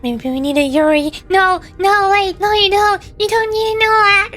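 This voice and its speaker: A woman's voice: very high-pitched, steady tone, moderate pace, fairly bright tone, soft background music. A woman streaming as a VTuber, chatting casually and reacting to her chat while her voice gives out. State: losing her voice.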